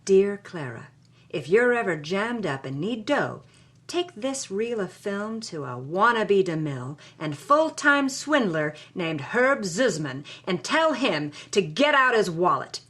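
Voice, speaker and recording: A lively, swinging delivery from a woman gives voice to a roguish adventurer's letters in an audio drama. The phrasing is punchy, hearty and salty, leaning hard on key words. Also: medium pitch, unhurried. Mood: emphatic, salty.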